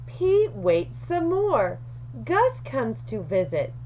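A woman reading a children's picture book aloud in an expressive, deliberate storyteller's manner. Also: a little high, slight background noise, poor audio. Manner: animated, deliberate, with questions and asides to young listeners.

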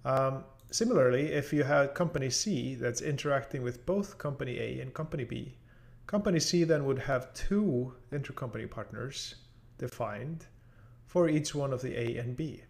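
Male tutorial narrator, gravelly edge, medium pitch, informal step-by-step walkthrough. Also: moderate pace. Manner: informal, thinking aloud step by step. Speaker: software instructor. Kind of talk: unscripted screen-share tutorial narration.

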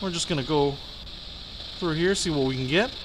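A man's voice: a little high, normal speed, background noise. A middle-aged American man giving a casual, chatty, enthusiastic product demonstration. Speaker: product review host.

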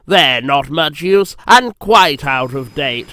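Man doing a slow, haughty, drawling helicopter. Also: high-pitched, sing-song, fairly bright tone, clean tone, dry recording, clean studio sound, pitched above the performer's own voice, exaggerated voice. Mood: bored. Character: haughty, easily offended, bored male helicopter.

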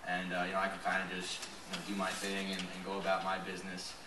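Young guy at a presser, deep flat monotone, talks quick, echoey room, far away. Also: background noise. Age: young adult. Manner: quick and flat, hedges with "kind of". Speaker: college lacrosse player. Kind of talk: postgame press conference answers. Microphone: table mic, recorded from a far camera. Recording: roomy echo from far off.

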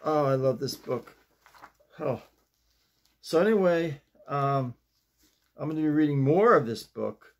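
An older man in his sixties or beyond chatting casually to camera in a folksy, halting way, leaning on repeated emphatic phrases. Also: a little high, animated. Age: 60s or older. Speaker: vlog host and amateur playwright. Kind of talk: casual rambling chat to camera. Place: small home study, indoors.

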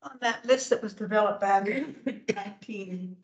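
An older woman chairing a town commission meeting, soft-spoken and hesitant, often trailing off. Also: medium pitch, moderate pace. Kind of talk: meeting discussion. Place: town council chamber. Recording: echoey room, dull lo-fi sound.

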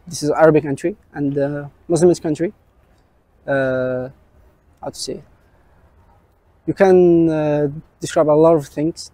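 A man's voice: a little high, mellow, no room sound. A young man from Algeria answers an interview outdoors in hesitant English full of fillers. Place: outdoors on a campus.

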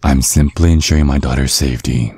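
A man plays a centuries-old vampire father with an extremely low voice, recorded close and dry. He is courtly and measured, with polite menace under every word, in an ASMR audio roleplay. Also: fairly even intonation, warm tone, husky, dry recording. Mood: quietly threatening. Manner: measured, politely threatening, formal.

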